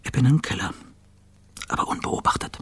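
An actor playing a self-doubting, bitterly cynical journalist in a radio play monologue, husky and breathy, often dropping toward a whisper. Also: male voice, moderate pace, natural voice. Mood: self-tormenting.

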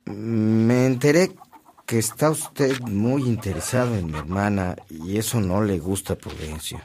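A conscientious young farm manager in a radio drama. His voice is low, with restrained, polite firmness. Some noise sits behind the recording. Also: measured pace, darkish tone. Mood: firm, polite. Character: earnest, conscientious young man helping run family farm.

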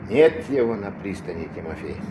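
Deep-voiced gruff old riverboat captain. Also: male voice, expressive, moderate pace, noisy background. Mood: gently reassuring. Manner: curt, commanding. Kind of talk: Soviet radio play acting.

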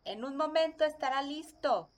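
A woman's voice: high-pitched, moderate pace, lightly acted. An actress playing a polite, motherly wife and hostess in a radio drama. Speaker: supporting actor.

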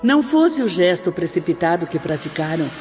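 An earnest spirit sister teaching moral lessons in a radio drama. Her diction is formal and preaching, carried in a long reverb over soft music. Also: on the low side, sing-song, brisk. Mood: grave, admonishing. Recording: dull reverberant recording with music.

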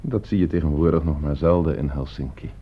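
Deep-voiced, coolly menacing schoolteacher. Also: male voice, fairly quick, breathy edge, full character voice. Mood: smooth, insinuating. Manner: controlled, polite, insinuating. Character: calm, calculating male schoolteacher, polite but menacing manipulator. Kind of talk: Dutch radio play acting. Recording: muffled old radio play recording.

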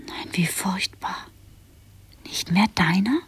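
An actress playing a curious, gentle female companion in a radio play, with a soft, whispery, breathy voice and hesitant questions. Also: medium pitch. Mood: curious, sympathetic. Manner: slow, hesitant, tentative questioning. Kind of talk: 1970s German radio play acting.

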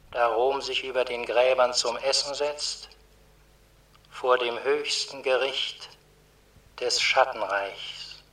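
An actor playing a sober narrator of the underworld, giving scripted radio drama narration in a grave, literary manner. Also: male voice, mid-range voice, sharp tone. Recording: roomy echo, distant from mic.

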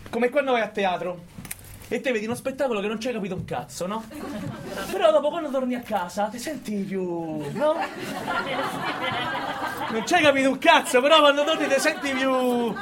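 Man acting a high-voiced sneering Roman prison guard. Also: lively intonation, normal speed, pauses often, crowd noise. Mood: scornful. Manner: sardonic, goading, peppered with rhetorical questions. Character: cynical, bullying male prison guard sneering at visitors. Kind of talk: theatrical radio monologue in colloquial dialect.

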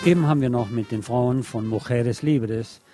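A man's voice: medium pitch, normal speed. Man narrating late-night radio over prominent music. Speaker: radio host. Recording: music playing under the voice.

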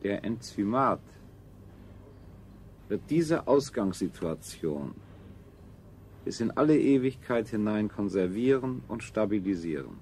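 A male technocrat scientist in a science fiction radio play, low-pitched and clinical. He states things with pompous, deliberate precision and cold superiority. There is faint noise on the old recording. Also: lively intonation, a little mellow. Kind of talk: 1970s German radio drama acting.

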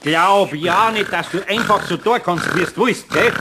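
A man's voice: high-pitched, a little slow, very noisy. An actor playing a good-natured older master craftsman with a Bavarian accent in a children's radio play, hesitant and easily flustered. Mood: scolding.

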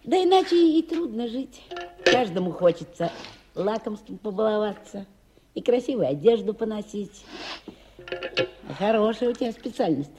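A woman's voice: mid-range voice, big pitch swings, normal speed, pauses often, over music, lightly acted. An actress playing an earthy, grumbling older cleaning woman in a Soviet radio drama, talking in folksy sayings. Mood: wistful, folksy. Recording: noisy old radio drama recording.

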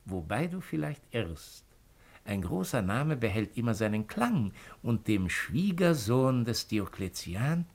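An actor playing a sly, insinuating former Roman governor in a 1950s radio play, with a theatrical storytelling manner. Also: male voice, medium pitch, big pitch swings, measured pace. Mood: teasing, insinuating.

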